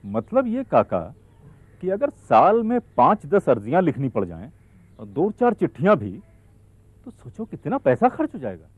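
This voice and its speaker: A man playing an earnest, patient young village outreach worker who gently persuades through questions and everyday examples. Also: a little high, huge pitch swings, moderate pace, slightly rough, dry recording, full character voice. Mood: friendly, persuasive. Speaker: actor in an educational training film. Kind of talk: acted film dialogue. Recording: muffled, lo-fi film soundtrack.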